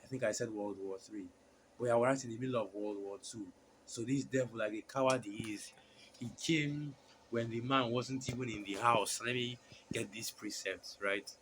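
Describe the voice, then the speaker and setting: A man with a hoarse voice from a sore throat, preaching a sermon and reading scripture in a halting way, with many fillers and restarts. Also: medium pitch, steady tone, fairly bright tone, a little hiss or hum, poor audio.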